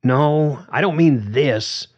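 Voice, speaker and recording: A man plays a blunt, carefree bandmate with a fondness for beer. The voice sits high and keeps needling, swearing freely. The recording sounds dull and lo-fi. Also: takes it slowly, darkish tone, husky. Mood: riled.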